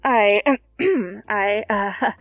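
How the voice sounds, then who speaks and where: A woman's voice: medium pitch, animated, drawn-out words, no room sound. A shy, polite young baker played by a woman reader speaks in a hesitant, friendly way. The voice is close and dry, with faint music under the story. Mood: cautious. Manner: hesitant, cautious.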